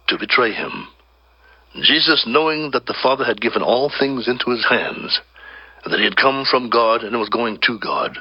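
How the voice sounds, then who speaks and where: Low-voiced man solemnly narrating scripture. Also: slightly rough, no echo. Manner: solemn, measured storytelling. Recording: slightly dull, band-limited audio with faint music. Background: faint music.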